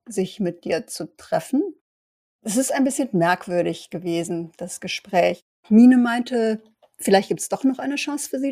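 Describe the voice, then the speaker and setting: An observant female vampire scout, played by a woman in a role-play audio drama. Her medium-pitched voice is recorded dry. She is wary and deliberate, drawing out words for emphasis. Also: warm tone, dry recording.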